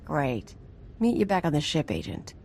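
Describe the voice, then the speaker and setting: A woman's voice: deep voice, huge pitch swings, normal speed, gravelly, a little mellow, a little hiss or hum, heavily acted. A woman voicing a wry, sardonic crewmate in a video game cutscene.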